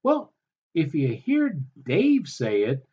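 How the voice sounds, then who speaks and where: A man reviewing a film in a sardonic, halting way, with many fillers. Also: on the high side, huge pitch swings, husky, dull audio. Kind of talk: casual film review commentary.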